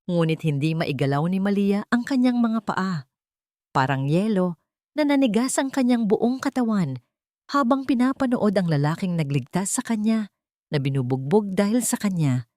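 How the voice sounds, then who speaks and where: A woman's voice: mid-range voice, animated, normal speed, darkish tone, no room sound, studio quality. A woman with a Filipino accent narrating a scripted drama in a suspenseful, theatrical way. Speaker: story narrator.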